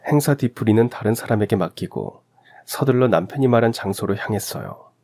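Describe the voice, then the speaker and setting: A man's voice: lowish voice, animated, fairly quick, mellow. A man reading a scripted first-person story as a radio narrator.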